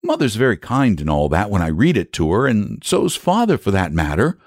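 A man's voice: on the low side, wildly swooping pitch, moderate pace, a little mellow, no room sound, studio quality, exaggerated voice. A man voicing an earnest, polite and frank young boy in a storybook, speaking emphatically and sensibly. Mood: confiding. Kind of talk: storybook character voice.

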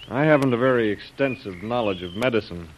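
An actor playing a worried, earnest aide on a jungle trek, speaking carefully and plainly in 1930s radio adventure style. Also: male voice, on the low side, a little fast, natural voice. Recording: muffled, noisy old radio recording.

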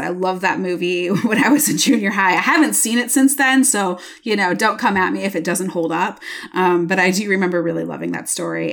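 A relaxed woman in her thirties chats through a book's plot on a podcast. Her voice sits a little low, with plenty of vocal fry. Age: 30s. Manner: relaxed, chatty, filler-heavy. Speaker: podcast co-host. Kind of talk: casual book chat.

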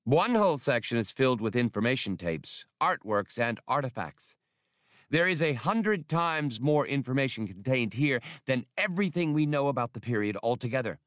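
A man's voice: medium pitch, lively intonation, normal speed, a bit bright, no echo, clean studio sound, pitched above the performer's own voice, close to an everyday voice. A man voicing an eager, fussy male alien academic with precise scholarly phrasing in an audiobook. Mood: mild.

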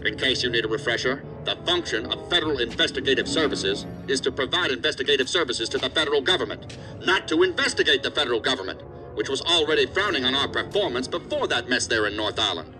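Man playing a pissed off agency boss, talks fast, blunt and threatening, sci-fi drama, music behind. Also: mid-range voice, expressive, lightly acted. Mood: stern, exasperated. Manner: stern, blunt, cutting. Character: angry, threatening male assistant director scolding a subordinate. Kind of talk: science fiction audio drama acting.

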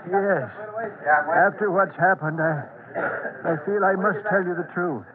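A remorseful middle-aged man in a classic radio drama. His high voice halts and repeats as if shaken. The sound is narrow and tinny, like an old broadcast. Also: crowd noise. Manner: halting, repeating words.

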